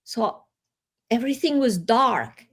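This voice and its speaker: Slow-speaking woman, reflective. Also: fairly high pitch, expressive. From Colombia. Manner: slow, reflective, with soft laughs and pauses. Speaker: guest. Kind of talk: personal storytelling in an interview.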